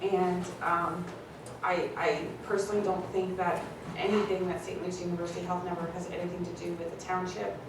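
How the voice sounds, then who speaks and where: Gravelly woman speaking hesitantly at council. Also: lowish voice, flat delivery. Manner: hesitant, filler-heavy, measured. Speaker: council member. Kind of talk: council meeting remarks. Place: council chamber. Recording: echoey distant room mic with hiss.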